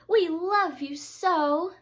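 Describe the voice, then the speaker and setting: A woman voicing clingy, hungry wild monsters from a storybook, pleading in loud, drawn-out cries. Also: very high-pitched, voice pushed up, full character voice.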